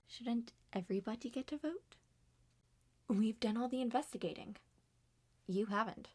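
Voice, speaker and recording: A woman voicing a level-headed young male detective in an amateur roleplay drama, dry, deliberate and matter-of-fact. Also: on the high side, a little mellow, natural voice.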